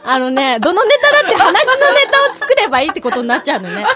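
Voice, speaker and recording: A woman's voice: high-pitched, sing-song, rapid-fire. A woman bantering in a comedy podcast skit, teasing and scolding playfully with frequent interjections. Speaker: voice actress guest on a comedy bar-skit podcast. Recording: loud music behind the voice. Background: music.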